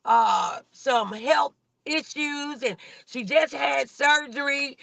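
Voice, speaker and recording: A woman's voice: high voice, takes it slowly, creaky, a bit bright, dry recording. A woman hosting a motivational livestream, preaching in a folksy, exhorting way with repeated phrases, speaking from inside a car. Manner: folksy, colloquial. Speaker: host of a morning motivational show.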